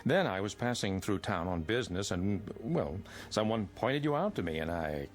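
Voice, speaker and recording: A man plays an ingratiating middle-aged consultant in a vintage television drama. His low voice moves expressively as he pries politely, pausing to apologize. Some music sits behind him. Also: expressive, lightly acted. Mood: smooth flattery. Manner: smooth-talking, apologetic hesitations, prying. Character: ingratiating, probing male financial consultant, unsettlingly polite. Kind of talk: mid-century American TV drama acting.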